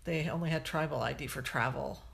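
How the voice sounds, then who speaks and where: Brief: woman podcast co-host, low gravelly voice, chatty and easygoing. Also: brisk. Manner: chatty, laughs often, trails off mid-sentence. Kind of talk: casual chat.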